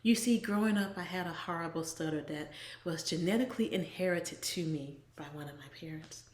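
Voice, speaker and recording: A woman giving an earnest, measured inspirational talk as a devotional blogger, often trailing off soft and breathy at the ends of phrases. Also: lowish voice, a little hiss or hum. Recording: echoey room.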